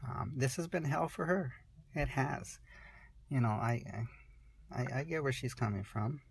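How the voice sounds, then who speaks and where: A woman in her forties speaking candidly in an interview, halting and soft-spoken, often restarting her sentences. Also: a bass voice, creaky, slightly rough, dry recording. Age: 40s.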